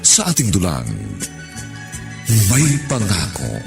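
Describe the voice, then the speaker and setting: A man's voice: low-pitched, huge pitch swings, slow, gravelly. A man announcing a radio drama in a dramatic, scripted style. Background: prominent music.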